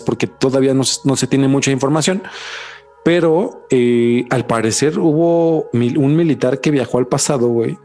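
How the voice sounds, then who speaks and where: A man with a Mexican accent, co-hosting a podcast in an excitable, slang-heavy casual chat. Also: medium pitch. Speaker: podcast co-host.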